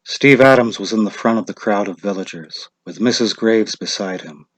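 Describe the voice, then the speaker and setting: Low-voiced male story narrator. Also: normal speed, husky, no room sound, poor audio. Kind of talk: dramatic scripted story narration.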